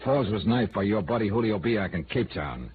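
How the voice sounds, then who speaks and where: An actor playing a wry, hard-boiled private investigator in a radio noir, tough, wisecracking and clipped. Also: male voice, lowish voice, expressive, moderate pace, slight background noise, lightly acted. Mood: tough, challenging. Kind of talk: old-time radio noir acting and first-person narration. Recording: narrow-band vintage radio recording with music.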